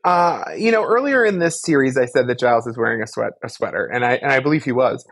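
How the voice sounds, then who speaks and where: A man's voice: mid-range voice, lively intonation, fast talker, creaky, a bit bright, no echo, studio quality. A man co-hosting a podcast, chatting casually about a favorite show in an effusive, playfully theatrical way. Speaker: podcast co-host. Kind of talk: casual fan discussion of an audio drama.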